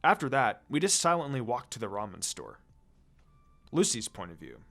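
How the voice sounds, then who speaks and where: A man's voice: medium pitch, sing-song, normal speed, gravelly, a bit bright. A man narrating a scripted story expressively, reading fan fiction aloud. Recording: music under the voice. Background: music.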